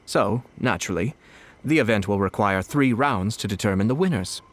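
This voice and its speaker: A man voicing a composed, wry young nobleman in a video game, speaking in a polished, formal, measured way. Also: lowish voice, expressive, natural voice. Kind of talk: video game character voice acting.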